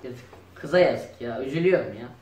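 A man's voice: mid-range voice, lively intonation, a little fast, a little mellow. A young man, grumbling through improvised comic banter in a home dining room. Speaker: performer in a children's comedy sketch. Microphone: camera a few metres away. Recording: echoey room.

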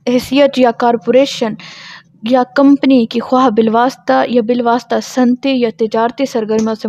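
Slow-paced Pakistani woman lecturer, higher voice. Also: darkish tone, dry recording. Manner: didactic, asks and answers own questions. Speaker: law lecturer. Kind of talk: explanatory lecture, reading statute aloud and explaining it.

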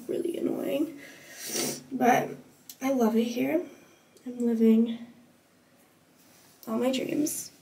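Congested young woman vlogger with creaky voice. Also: a little high. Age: young adult. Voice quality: stuffy, congested nose. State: stuffed up with a cold, just woke up. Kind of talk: casual chat to camera. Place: small tiled hotel bathroom and hotel room. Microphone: camera at arm's length or propped nearby. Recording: noisy camera audio with background ambience.

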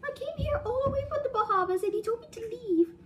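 A woman voicing an excitable male toy boat visitor who talks in a shrill, indignant, whiny way. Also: quick pace, a little hiss or hum.

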